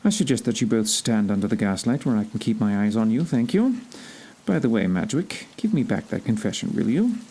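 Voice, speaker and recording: A man playing a self-assured gentleman detective in audio theatre, formal, commanding and dryly witty. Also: on the low side, very animated, brisk, a little hiss or hum. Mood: cool command. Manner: crisp, commanding, wry, formal.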